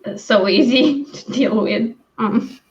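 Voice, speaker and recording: High-voiced woman on a muffled stream. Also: takes it slowly. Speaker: book read-along live stream host. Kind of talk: casual book discussion. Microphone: video call. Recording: dull, lo-fi stream audio.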